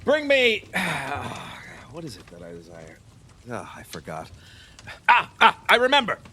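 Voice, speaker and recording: A man playing a nervous, boastful, capricious middle-aged ruler in an audio drama of a classic play, halting and chuckling in a theatrical way. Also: a little high, wildly swooping pitch, sharp tone, husky, heavily acted. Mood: wheedling, scattered.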